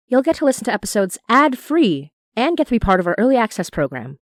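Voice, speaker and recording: A woman's voice: medium pitch, no echo, studio quality. A woman hosting a podcast, reading scripted credits and announcements straight to the listeners. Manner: addresses listeners directly, reading out lists. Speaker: podcast creator and host.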